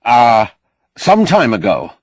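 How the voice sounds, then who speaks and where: A man's voice: mid-range voice, wildly swooping pitch, takes it slowly, no echo, heavily acted. A man voicing a younger, officious protocol official, polite and hesitant, in an audiobook novel. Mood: baffled, pleading. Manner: polite, apologetic, hesitant, fussy.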